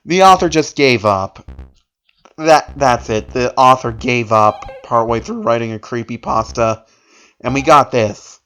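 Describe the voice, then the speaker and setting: A man's voice: medium pitch, unhurried, a bit bright, clear, resonant tone. A man narrating a story aloud in an emphatic, theatrical way, occasionally tripping over a word. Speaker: narrator reading horror fan fiction aloud. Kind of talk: dramatic story reading with amused asides.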